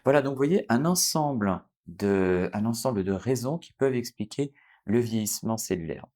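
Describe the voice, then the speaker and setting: A man in his forties, a doctor from France, explaining a topic to his viewers in an unscripted way, pausing often to correct himself and adding fillers. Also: mid-range voice, lively intonation, darkish tone. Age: 40s. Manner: explanatory, many hesitations and self-corrections. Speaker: doctor and channel host. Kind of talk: unscripted educational talk to camera.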